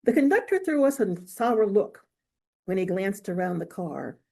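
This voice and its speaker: A woman reading literary fiction aloud in a measured, expressive storytelling manner. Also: mid-range voice, creaky. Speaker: author reading from own novel. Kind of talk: audiobook-style literary reading.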